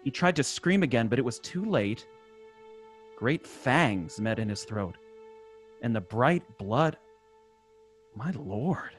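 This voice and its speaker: A man's voice: medium pitch, animated, slow pace, long pauses, soft background music. A man playing an easygoing, skeptical sidekick with 1930s slang in an old time radio drama. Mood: dramatic reading. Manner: slangy, incredulous.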